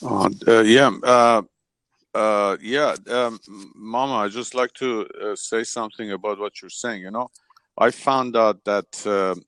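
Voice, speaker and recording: Low-voiced man from Iran, halting chat. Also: steady tone, a bit bright, smooth. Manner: hesitant, many fillers, drawn-out phrasing. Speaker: chat participant. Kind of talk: casual group chat.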